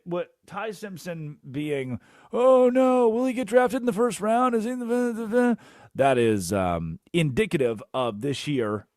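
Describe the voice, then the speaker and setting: Lively, animated male sports radio host. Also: on the high side, no room sound, clean studio sound. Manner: animated, jokey, rambling asides. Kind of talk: energetic sports talk radio. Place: radio studio. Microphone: studio microphone.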